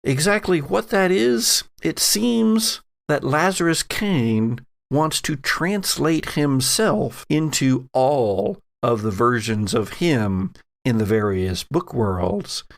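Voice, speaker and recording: A man with a creaky, gravelly voice hosts a tabletop game podcast. He takes his time, leaning theatrically into certain words. The sound is dry, with very little room around him. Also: mid-range voice, lively intonation, slow, dry recording. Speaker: podcast host and game master. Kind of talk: hosting and narrating an actual play audio drama.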